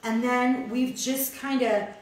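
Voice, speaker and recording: A woman's voice: on the high side, steady tone, slow pace, faint music behind. An older woman hosting a casual cooking demonstration in her home kitchen, chatty and explaining each step as she cooks. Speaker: cooking show host. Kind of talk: casual cooking demo chat. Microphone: far camera mic. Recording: echoey kitchen, mic at a distance.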